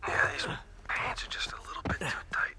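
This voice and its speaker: An actor playing a brash, easygoing thief in a video game, casual and cocky. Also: male voice, fairly quick, whispered, natural voice. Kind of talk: naturalistic video game acting. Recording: music behind the voice.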